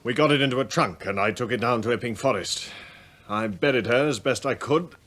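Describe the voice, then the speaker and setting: An actor playing a self-serving husband under suspicion in a radio play, defensive and formal. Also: male voice, medium pitch, fairly quick, fairly bright tone, lightly acted. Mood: self-justifying. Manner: defensive, formal, indignant when challenged. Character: evasive, self-serving husband suspected of killing his wife. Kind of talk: radio drama acting.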